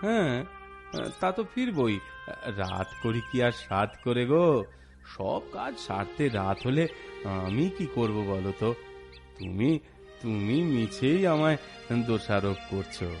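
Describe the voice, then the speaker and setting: A man's voice: medium pitch, big pitch swings, a little slow, gravelly, pauses often. A man playing an affable, chatty village washerman in a cartoon, with rustic speech and repeated words. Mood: mildly defensive. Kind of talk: cartoon voice acting, rustic dialogue. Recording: music behind the voice. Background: background music.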